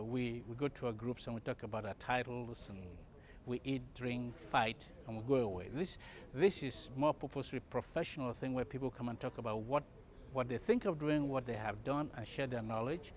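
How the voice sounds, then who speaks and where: A man from Cameroon answering interview questions at a conference, with frequent fillers and restarts. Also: mid-range voice. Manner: hesitant, many fillers and restarts. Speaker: congress participant, medical company CEO. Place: conference event.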